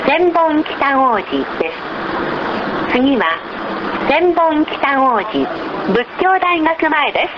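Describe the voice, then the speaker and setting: A woman reading scripted bus stop announcements in a formal, measured, polite manner on a low-quality recording. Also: highs cut off. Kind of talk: scripted public transit announcement. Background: loud vehicle noise.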